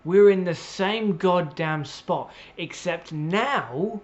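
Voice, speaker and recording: A man delivering an opinionated political rant in a blunt, sweary, exasperated way, with emphatic pauses and repetition, on slightly muffled audio. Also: high voice, lively intonation. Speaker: political commentator.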